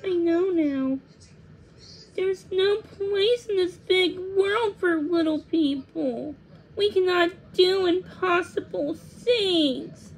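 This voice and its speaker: A tiny storybook girl, played by a woman, high-pitched and tender-hearted. She speaks slowly and earnestly, with pleading, sighing emotion in her words. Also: expressive, darkish tone, low-quality recording. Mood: despairing.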